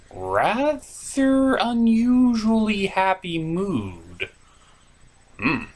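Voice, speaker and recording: A man's voice: mid-range voice, a bit bright. A man hosting a scripted comedic review, with theatrical, exasperated reactions. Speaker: host of a review show.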